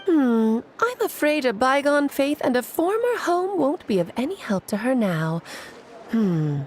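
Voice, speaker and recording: A woman's voice: high-pitched, very animated, a little slow. An actress playing a playful, self-assured, motherly great mage in a video game, breezy and theatrical, given to lecturing. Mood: thoughtful, instructive. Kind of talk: scripted video game character dialogue.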